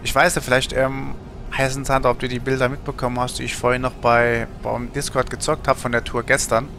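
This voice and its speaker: Gravelly-voiced man chatting on a gaming stream. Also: mid-range voice, level tone, brisk, a bit bright, slight background noise. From Germany. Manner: rambling, colloquial, thinking aloud. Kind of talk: casual livestream chat while gaming.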